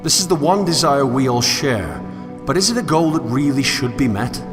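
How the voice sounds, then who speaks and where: A man's voice: mid-range voice, expressive, normal speed, close to an everyday voice. A man playing a solitary, brooding wanderer who muses in formal, eloquent language in a scripted game monologue. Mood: contemplative, somber. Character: solitary, brooding male wanderer musing philosophically on a fallen world. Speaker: narrator. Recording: long hall reverb, music underneath. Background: music.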